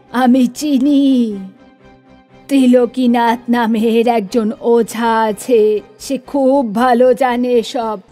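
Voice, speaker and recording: Casting: a worried grandmother for a cartoon ghost tale, measured and emphatic, soft dark tone, fairly high pitch. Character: concerned, decisive grandmother of a sick boy. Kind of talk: scripted horror cartoon story acting.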